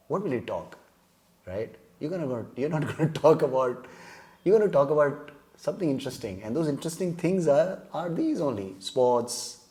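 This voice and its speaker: A man's voice: medium pitch, mellow. A man, a guest telling rambling jokes in a casual chat and laughing often. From India. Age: 30s. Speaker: podcast guest.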